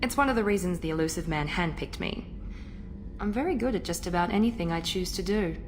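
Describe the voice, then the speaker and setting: A woman's voice: on the low side, animated, a little fast, a little hiss or hum, natural voice. A woman voicing a supremely self-assured operative in a video game, businesslike, formal and matter-of-fact. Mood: confident, businesslike. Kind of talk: video game dialogue acting.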